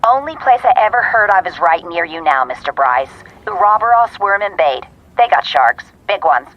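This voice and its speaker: A woman's voice: fairly low pitch, big pitch swings, moderate pace. A woman playing a chatty, helpful receptionist in a radio drama, heard through a telephone. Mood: chirpy, helpful. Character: chatty, helpful American female aquarium receptionist. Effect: telephone filter. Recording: band-limited telephone line.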